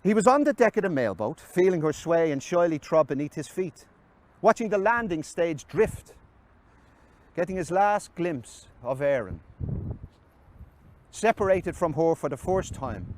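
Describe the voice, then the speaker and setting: Man reading prose outdoors, fairly high voice. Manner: measured, dramatic, broken into short phrases. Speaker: reader. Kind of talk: expressive literary reading of autobiographical prose. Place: outdoors on a city quay. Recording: outdoor city noise, traffic. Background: urban ambience and passing vehicles.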